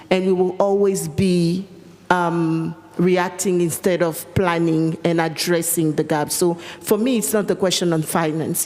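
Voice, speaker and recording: A woman's voice: on the low side, darkish tone. French-speaking woman on an echoey panel. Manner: earnest, pauses with fillers, repeats for emphasis. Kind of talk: panel discussion answer. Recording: echoey conference hall.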